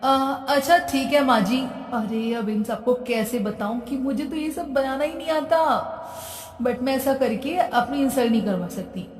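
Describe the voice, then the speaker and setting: A woman's voice: high voice, moderate pace, natural voice. A woman voicing a spoiled, whiny young bride in a cartoon story, speaking in a petulant, exasperated way. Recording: roomy echo, distant from mic.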